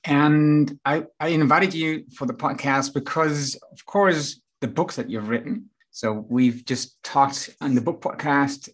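Dutch-accented man, host of a business interview podcast, husky voice, rambling and laughing easily. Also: medium pitch. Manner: chatty, rambling, many restarts and laughs. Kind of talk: casual interview chat.

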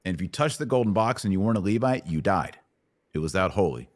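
Low-voiced man teaching the Bible. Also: animated, fast. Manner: didactic, asks checking questions. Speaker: podcast host teaching the Bible. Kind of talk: live Bible Q&A, conversational teaching.

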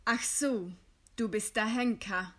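An actress playing a proud, composed dissident writer in a German radio play, speaking with measured, scornful eloquence. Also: female voice, on the high side, a bit bright. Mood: calm irony. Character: condemned dissident woman writer, widowed, proud, defiant, composed.

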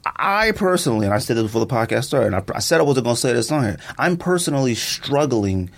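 A male podcast host with a very lively, swooping delivery. He talks casually and emphatically, leaning on slang. He often repeats a phrase to hammer it in. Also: medium pitch, fast, vocal fry. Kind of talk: casual sneaker podcast banter.

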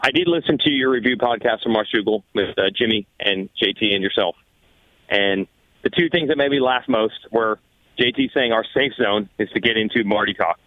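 A man's voice: fairly low pitch, moderate pace, no room sound, phone-quality sound. A man in a casual sports podcast discussion, arguing his points emphatically with rhetorical questions. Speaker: motorsport podcast panelist.

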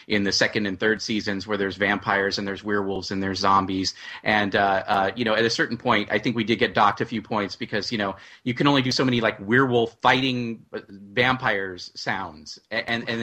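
Bright-voiced, low-pitched man talking shop. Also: fairly even intonation, fairly quick, hardly any pauses. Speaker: audio drama writer and producer, panel guest. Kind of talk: casual panel discussion.

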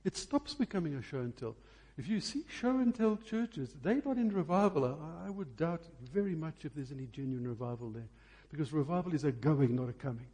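Bright, husky-voiced male preacher. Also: on the high side, animated. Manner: emphatic, deliberate phrasing with repetition for effect. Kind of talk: sermon.

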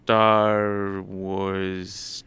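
A man with a fairly low voice and plenty of creak speaks as an interview guest. He answers in a casual, conversational style. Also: flat delivery, extremely slow, fairly bright tone. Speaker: interview guest, digital arts college head. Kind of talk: casual chat, short thoughtful answers.

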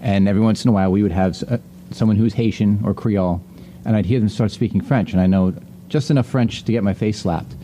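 A man's voice: on the low side, quick pace, mellow, a little hiss or hum. A middle-aged man hosting a casual panel conversation, speaking in a chatty, rambling, anecdotal way. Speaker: podcast host.

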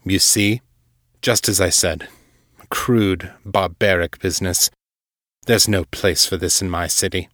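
A man acts out an urbane vampire lord in his fifties for an audiobook. The voice is very low and closely dry. He speaks formally and without hurry, gently condescending, like someone used to command. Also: steady tone, no echo. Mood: disapproving, composed. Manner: formal, unhurried, smoothly chiding.

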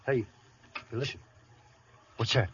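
An actor playing a tough-talking male gangster with a husky voice and slangy, menacing speech in a 1930s radio play. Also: medium pitch, takes it slowly, lo-fi sound, close to an everyday voice. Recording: scratchy 1930s radio broadcast.

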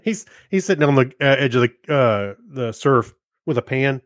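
A man's voice: mid-range voice, lively intonation, moderate pace, no room sound, clean studio sound. A man co-hosting a podcast, chatting casually and telling things in a loose, conversational way. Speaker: podcast co-host. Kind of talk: casual chat.

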